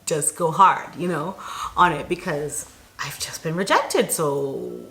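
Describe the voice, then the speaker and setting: A woman from Barbados telling a personal story to camera as a vlogger, in an animated, chatty and emphatic way. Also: deep voice, slow, creaky.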